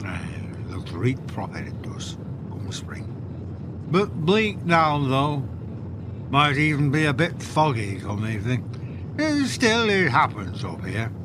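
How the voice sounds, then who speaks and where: Radio play: male actor as wry, welcoming Northern English man, thick dialect, very animated intonation, noisy backdrop. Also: medium pitch, takes it slowly. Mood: chatty. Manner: dialect-heavy, folksy, drily joking. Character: friendly, wry northern man fetching a hotel guest. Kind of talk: audio drama acting.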